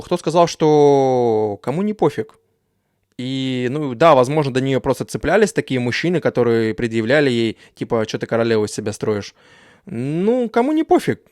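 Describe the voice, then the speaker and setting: A man's voice: mid-range voice, a little mellow, no echo. Man hosting reactions, casual slangy banter, dry close mic. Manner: dismissive, slangy, lots of fillers. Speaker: reaction video host.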